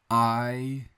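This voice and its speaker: A man playing a friendly young newcomer to town, chatting casually and haltingly with a close friend in an improvised roleplay audio. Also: mid-range voice, sharp tone, clear, resonant tone. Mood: wistful, content. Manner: rambling, halting, self-correcting, conversational. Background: bird and outdoor ambience sound effects.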